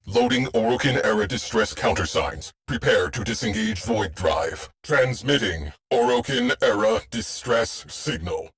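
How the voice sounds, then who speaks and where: A very low-voiced, grave machine mind of a starship for a video game. He speaks slowly and with a husky rasp, in clipped, cryptic phrases that sound solemn and weary, like a terse military officer. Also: animated, a bit bright. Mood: terse, commanding. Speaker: ship AI giving crew briefings and alerts. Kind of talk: scripted video game voice lines.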